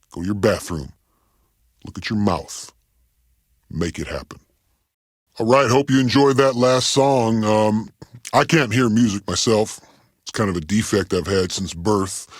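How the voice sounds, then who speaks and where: A man playing a goofy, self-important rock radio DJ in a video game, rambling in a deadpan way with ums and trailing-off thoughts. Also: fairly low pitch, dry recording, studio quality. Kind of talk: comedic radio DJ patter.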